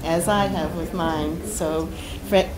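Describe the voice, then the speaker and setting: A woman interviewer who introduces her guest and asks questions in a warm, conversational way. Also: lowish voice, steady tone, slow, noisy background.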